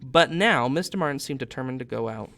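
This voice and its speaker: A man's voice: medium pitch, animated, brisk, pushed and bright. A man in his thirties, a teacher, narrating a storybook aloud expressively.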